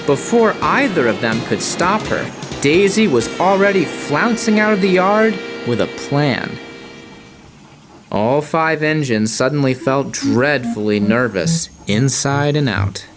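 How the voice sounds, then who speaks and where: A man reading scripted storybook narration in a measured, dramatic way. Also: fairly high pitch, expressive. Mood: suspenseful. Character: storybook narrator of talking engine tales. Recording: music playing behind.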